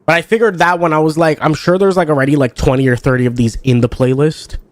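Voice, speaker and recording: Young male streamer, congested, rambling filler-heavy chat, slightly high, dry close sound. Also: steady tone, fast, dry recording. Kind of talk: casual chat while playing a card game.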